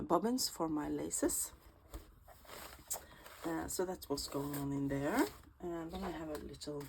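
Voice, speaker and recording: A Swedish woman chats her way through a crafting vlog at home, her voice sitting slightly low and her pace slow and easy. She talks in a relaxed, rambling way with plenty of ums. A faint noise lies under the recording. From Sweden. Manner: unhurried, chatty, many ums and restarts. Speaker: junk journal creator.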